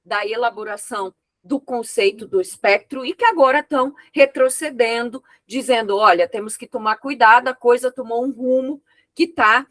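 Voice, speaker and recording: Higher-voiced woman giving frank academic feedback. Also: fairly bright tone, no echo. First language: an unwritten oral language. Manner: thoughtful, frank, pauses often with fillers. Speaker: examiner on a master's thesis committee. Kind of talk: spoken academic feedback to a student. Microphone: video call.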